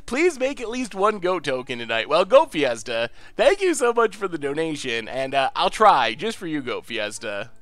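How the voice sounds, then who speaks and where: High, lively, slightly congested man. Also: moderate pace, hardly any pauses, no room sound. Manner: excitable, laughs often, cheers at wins. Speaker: card game streamer. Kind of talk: casual live-stream gameplay commentary.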